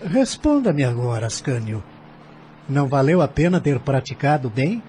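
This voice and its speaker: An actor playing a kindly, earnest spiritist doctor who speaks in a gentle, measured, formal way in an audio drama. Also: male voice, mid-range voice, sing-song, a little hiss or hum, natural voice. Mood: earnest, composed.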